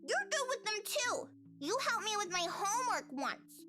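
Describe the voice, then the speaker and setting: A woman's voice: extremely high pitch, sing-song, moderate pace, sharp tone, voice pushed up, exaggerated voice. A woman voicing a chatty, trusting little girl in a visual novel game, with a childlike, earnest manner. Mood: curious. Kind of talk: voiced visual novel character. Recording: music playing underneath.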